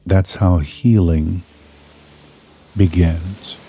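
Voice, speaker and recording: A man narrating a scripted guided meditation in a soothing, measured manner, leaving pauses between short phrases. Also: low-pitched, fairly even intonation, mellow, slight background noise.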